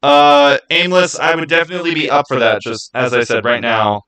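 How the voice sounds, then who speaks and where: A man with a bright, forward voice hosts a casual livestream. He rambles and thinks aloud, speaking to viewers by name in a chatty way. His pitch sits in an ordinary middle range. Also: fairly even intonation, fairly quick, clean tone. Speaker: livestream host. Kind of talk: casual livestream chat, answering viewer comments.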